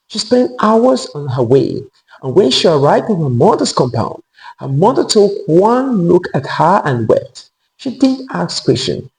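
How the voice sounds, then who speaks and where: Male storyteller for scripted folktale, high voice with heavy creak, animated pitch movement. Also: poor audio. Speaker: storyteller narrator.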